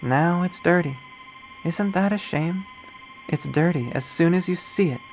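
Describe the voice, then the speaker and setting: A man's voice: high voice, measured pace, soft background music, pitched above the performer's own voice. A man voicing a jaded, unhinged young woman artist in a bitter, profane, rambling monologue from a visual novel. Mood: bleak, contemptuous. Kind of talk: dramatic visual novel readthrough.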